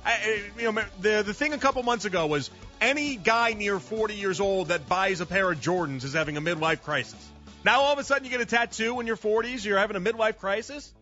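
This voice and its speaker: Animated bright-voiced man on sports radio. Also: sits high. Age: 30s. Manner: animated, argumentative, rhetorical questions. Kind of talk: sports talk radio commentary. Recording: slightly dull radio broadcast with faint music.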